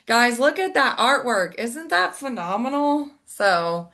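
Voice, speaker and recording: A woman's voice: fairly high pitch, big pitch swings, takes it slowly, sharp tone. A woman hosting a casual interview podcast, chatty and effusive, rambling through her thoughts over a video call. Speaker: podcast host.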